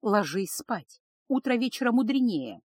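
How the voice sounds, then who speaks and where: A woman voicing a world-weary, consoling harem woman in an audiobook, gentle and measured. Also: fairly high pitch, very animated, husky, no echo, studio quality, pitched above the performer's own voice, full character voice. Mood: reassuring, firm.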